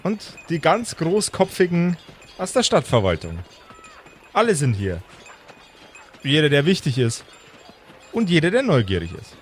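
A man's voice: on the high side, huge pitch swings, a little slow, long pauses, a little hiss or hum. A man narrating an improvised tabletop game as game master, with a theatrical storytelling manner.

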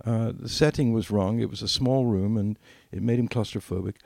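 A man's voice: fairly low pitch, fairly quick, vocal fry, darkish tone, dry recording, studio quality. An older man telling anecdotes casually as a podcast co-host, acting out bits of dialogue as he reminisces.

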